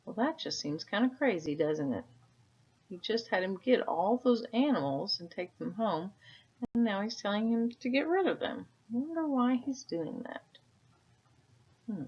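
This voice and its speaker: A woman reading a children's story aloud like a teacher, adding casual comments and gentle questions for the listener. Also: medium pitch, expressive, normal speed, slightly rough. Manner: asks gentle tag questions. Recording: dull, lo-fi sound.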